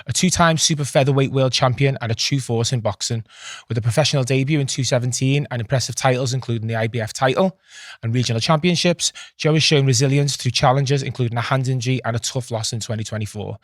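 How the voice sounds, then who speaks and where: A man's voice: mid-range voice, fast talker, no room sound, clean studio sound. A man hosting an interview, eager and gushing, stumbling over his words. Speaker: podcast host.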